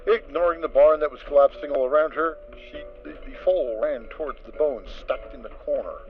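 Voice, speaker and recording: A man narrating a dramatic reading of a story, steady storytelling with occasional stumbles. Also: fairly high pitch, a little fast. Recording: dull lo-fi amateur mic with soft music.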